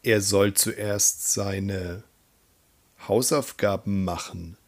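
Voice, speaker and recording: A man working as a language teacher, reading example sentences in a deliberate, instructional way. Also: on the low side, steady tone. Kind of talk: scripted grammar drill, reading example sentences.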